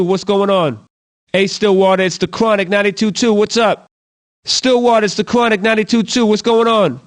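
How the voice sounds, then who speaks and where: A man's voice: high voice, a bit bright. A man playing an upbeat hip-hop radio DJ, delivering bulletins and station IDs with slangy, playful patter.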